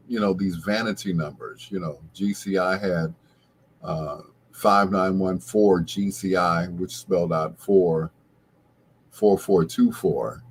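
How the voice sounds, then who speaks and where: A middle-aged American man with a husky voice hosts casual late-night radio, rambling along like a reminiscing storyteller. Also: low-pitched, slight background noise. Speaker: radio show host.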